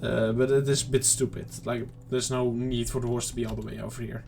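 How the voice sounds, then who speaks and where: A man giving casual, rambling let's-play commentary to viewers, his throat slightly off and clearing it now and then. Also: medium pitch, flat delivery, brisk, darkish tone, faint music behind. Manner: rambling, chatty self-talk to viewers. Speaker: gaming channel host.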